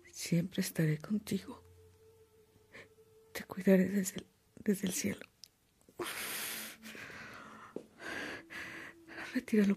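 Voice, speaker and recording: A woman playing a tender dying mother in a radio drama, speaking faintly in a breathy near-whisper. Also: medium pitch, warm tone. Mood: weak tenderness. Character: tender dying mother, weakly giving last wishes.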